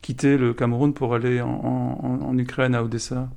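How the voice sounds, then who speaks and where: A man interviewing a guest, asking questions in a halting way and repeating words. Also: medium pitch, flat delivery, vocal fry, darkish tone.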